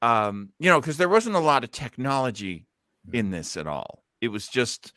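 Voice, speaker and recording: Animated, bright-voiced man chatting casually. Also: mid-range voice, normal speed, dry recording, clean studio sound. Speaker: host of online listening party. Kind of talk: casual discussion.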